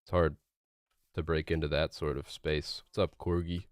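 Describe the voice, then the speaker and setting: A man's voice: rumbling low, level tone, normal speed, clear, resonant tone, no echo, studio quality. A young man streaming a video game, chatting casually with viewers in a rambling, laid-back way full of fillers. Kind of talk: casual livestream chat while gaming.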